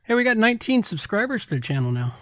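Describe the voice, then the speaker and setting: A man's voice: high-pitched, sing-song, fast talker, a bit bright, no echo, studio quality. A man co-hosting a podcast in casual chat, laid-back and muttering to himself as he sets things up. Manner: laid-back, mumbles to himself while setting up. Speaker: podcast co-host.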